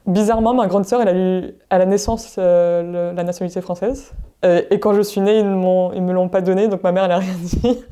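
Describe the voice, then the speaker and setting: A woman's voice: lowish voice, steady tone, fairly quick, mellow, smooth. A woman in her twenties from New Caledonia, raised speaking English at home, telling her own story in a casual, personal way as a podcast guest. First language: English, spoken at home alongside French. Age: 20s. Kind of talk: personal testimony, casual chat.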